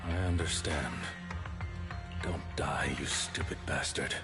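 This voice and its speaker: Deep stern game character, blunt and terse, music behind. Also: male voice, slow. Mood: grim, resigned. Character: gruff, stern, terse man, loyal reluctant partner. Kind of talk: video game voice acting.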